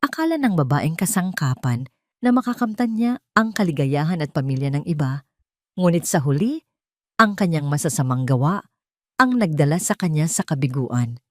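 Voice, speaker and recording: A woman's voice: deep voice, animated, normal speed, no echo, clean studio sound. A woman reading a scripted, dramatic romance story as narrator.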